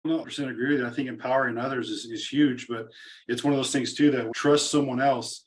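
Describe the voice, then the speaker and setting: A man's voice: medium pitch, fairly even intonation, fast talker. A man hosting an interview podcast, agreeing readily and talking around his points with many restarts. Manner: agreeable, rambling with repeated restarts. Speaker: podcast host.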